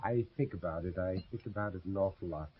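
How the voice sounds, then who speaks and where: An older actor playing an earnest, haunted, courteous American gentleman in a radio drama, speaking in a measured, brooding way. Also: low-pitched, a little hiss or hum, lightly acted. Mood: wistful, sombre. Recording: dull 1970s radio drama recording.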